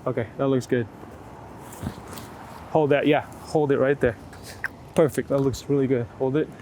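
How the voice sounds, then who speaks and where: A man's voice: medium pitch, steady tone, normal speed. A man working as a photographer outdoors in a city, chatting casually and encouragingly as he gives short, repeated posing instructions. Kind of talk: casual chat while directing portrait subjects. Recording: city street ambience and traffic noise.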